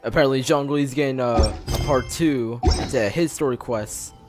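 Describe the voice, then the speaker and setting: A man's voice: mid-range voice, moderate pace. A man giving casual, rambling reaction commentary as he streams a game, often repeating himself. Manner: repetitive, rambling. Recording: loud game music behind stream voice.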